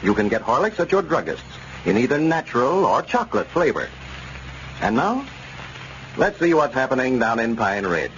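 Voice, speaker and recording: Male vintage radio announcer, American, very varied intonation, scripted pitch, dull broadcast sound with clear noise. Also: big pitch swings, moderate pace, fairly bright tone. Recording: muffled, noisy old radio broadcast.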